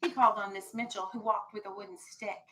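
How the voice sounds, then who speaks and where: A woman teacher reading a storybook aloud to young children, animated, stopping to explain and ask questions. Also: mid-range voice, fairly quick. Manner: animated, explains and checks understanding. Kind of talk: expressive children's read-aloud with questions to the class.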